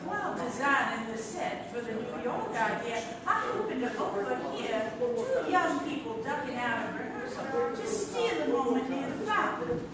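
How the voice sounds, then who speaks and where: An actress playing a wry, disapproving 1920s woman designer reading out her journal on stage. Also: high-pitched. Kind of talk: dramatic journal monologue. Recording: distant, echoey theatre, loud audience noise.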